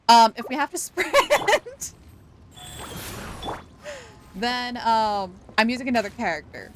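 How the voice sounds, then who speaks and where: A woman's voice: mid-range voice, a little hiss or hum. A woman hosting a game livestream, chatting casually and reacting playfully and expressively to what happens.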